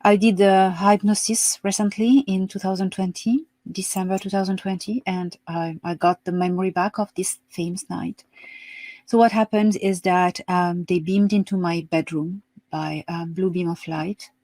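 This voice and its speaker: A woman from France with a French accent, giving a hesitant, soft-spoken account as a guest on a video call. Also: on the low side, fairly even intonation, normal speed, no echo. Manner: soft-spoken, hesitant with many ums, laughs easily. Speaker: interview guest. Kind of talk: remote interview, storytelling.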